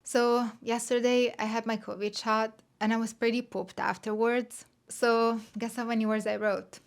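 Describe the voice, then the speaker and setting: A woman's voice: a little high, little pitch movement, normal speed, gravelly, faint music behind. A woman in her thirties from Italy, with an Italian accent, chatting candidly and self-deprecatingly to camera in a writing vlog. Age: 30s. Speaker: vlogger. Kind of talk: casual chat to camera.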